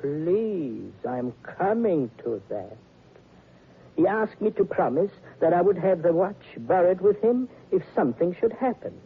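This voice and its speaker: Muffled vintage radio sound carries an eccentric old psychoanalyst with a very husky voice. He is pompous and pedantic, rambling along in a fairly high, swooping register. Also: big pitch swings, a little slow, heavily acted. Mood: musing. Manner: theatrical, rambling, pedantic, chuckling. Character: eccentric Viennese psychoanalyst, verbose, self-satisfied older man. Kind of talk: old-time radio drama acting.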